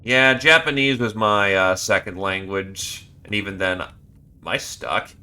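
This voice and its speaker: Male game streamer, low but bright voice, animated, reads text aloud with loud outbursts. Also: unhurried, clean tone. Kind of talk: live gameplay commentary.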